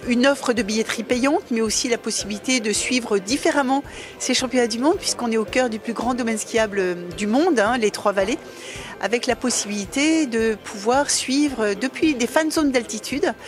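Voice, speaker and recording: A woman in her fifties talks through the organization of a big event into a handheld microphone outdoors. Her voice sits somewhat high, and she speaks in a measured way, full of hesitations and small restarts. Music plays clearly underneath. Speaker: organizing committee director. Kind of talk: on-camera interview. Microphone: handheld mic.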